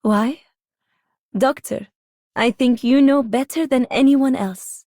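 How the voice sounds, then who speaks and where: A woman's voice: high voice, slow pace, warm tone, dry recording, studio quality. A woman voicing a serene, devoted sage in a scripted video game line.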